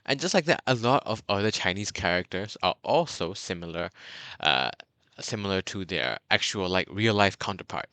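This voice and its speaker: A young man from Burma talks through a beginner's lesson in a low, bright and crisp voice, dotted with fillers and restarts. The sound is dry and close, with no room around it. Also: animated, normal speed, no echo. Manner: chatty, many fillers and restarts. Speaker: language tutor. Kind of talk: casual video lesson.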